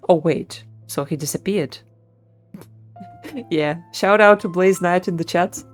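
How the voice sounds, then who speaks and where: A woman's voice: lowish voice, takes it slowly, faint music behind. A woman livestreaming a game, reacting casually and thinking aloud.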